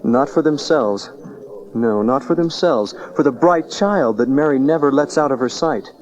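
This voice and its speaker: A man's voice: medium pitch, normal speed, darkish tone, natural voice. A man playing a wise, playful and solemn rabbi in a dramatized Bible audio play, on a lo-fi cassette recording. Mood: earnest. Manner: rhetorical, playful then solemn. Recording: dull lo-fi cassette transfer.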